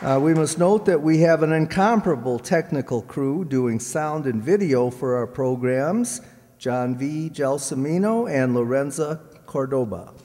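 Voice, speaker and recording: A man's voice: a little high, expressive, unhurried, a crowd in the background. A man reading out cast credits to an audience as announcer, with joking asides. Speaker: announcer of a community radio players' show. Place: large hall stage, indoors. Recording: echoey hall, audience noise.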